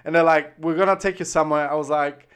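Italian-accented man, smooth and rambling. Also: on the high side, fairly even intonation, fast, a bit bright. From Italy. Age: 30s. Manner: rambling, heavy use of "like" and "um", frequent restarts. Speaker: interview guest. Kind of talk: casual interview chat. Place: Australia.

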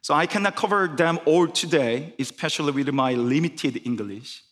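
A man's voice: on the high side, normal speed, a bit bright, big-room sound. A man whose first language is Korean, preaching a sermon in careful English with a Korean accent, often restarting phrases. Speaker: guest preacher. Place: church sanctuary.

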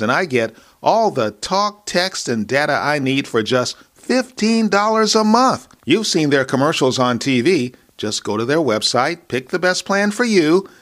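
A man's voice: on the high side, fairly bright tone. A man reading a scripted personal endorsement as a podcast host.

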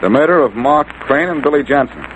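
An actor playing a cool, confident amateur detective in a 1940s radio drama, wry and needling. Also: male voice, fairly high pitch, animated, fairly quick, highs cut off, lightly acted. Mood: accusing, wry. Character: cool, wisecracking amateur detective, sure of himself. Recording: noisy old-time radio recording.

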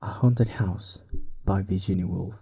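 A man who is a native Spanish speaker with a Spanish accent, speaking softly and breathily in a hushed, unhurried way, as a podcast host helping listeners fall asleep. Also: low voice, highs cut off. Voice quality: soft, breathy, husky. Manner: hushed, unhurried, drifting toward whisper. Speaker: sleep podcast host and story reader. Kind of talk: soft, relaxing bedtime talk and story reading.

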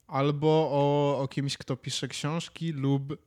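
A man's voice: on the high side, level tone, slow pace, darkish tone. A man co-hosting a podcast, chatting casually and musing aloud as he searches for words. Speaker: podcast co-host. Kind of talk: casual chat, musing and telling anecdotes.

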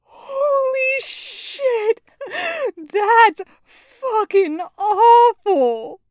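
Woman putting on a brash, high-pitched playful young guy. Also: sing-song, slow, a bit bright, dry recording, clean studio sound, exaggerated voice. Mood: laughing disbelief. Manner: slangy, exuberant, emphatic. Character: Taco, brash young man, playful and teasing.

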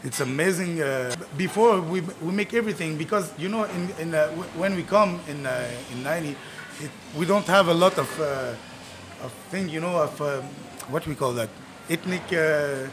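A man in his twenties, born in Tunisia and raised in Montreal, talking casually as a chef guest, halting and searching for words, swearing now and then. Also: on the high side, background noise. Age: 20s. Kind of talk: casual chat.

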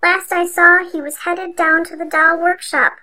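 A woman voicing a small, sobbing female elf with a very high voice. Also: level tone, moderate pace, sharp tone. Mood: tearful. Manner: high, tearful.

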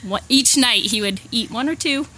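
A woman's voice: a little high, normal speed, bright, forceful tone. A plainspoken woman from Pennsylvania chatting casually and jokily as she is interviewed. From Pennsylvania, United States. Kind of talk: casual chat.

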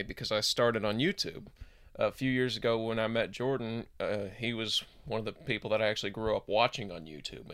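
A young man talking as a guest on a podcast, relaxed and laid-back, swearing casually. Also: lowish voice, no room sound. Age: young adult. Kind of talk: casual interview talk.

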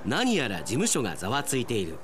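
A man's voice: mid-range voice, wildly swooping pitch, fast talker, noisy background. A man reading dramatic scripted narration for a Japanese radio drama.

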